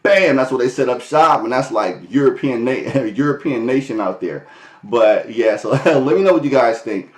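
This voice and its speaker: A man's voice: mid-range voice, expressive, moderate pace. An American man talking casually to his vlog viewers, with loose chatty delivery, restarts and frequent chuckles. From United States. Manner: loose and conversational, many fillers and restarts, laughs often. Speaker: vlogger. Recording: echoey room.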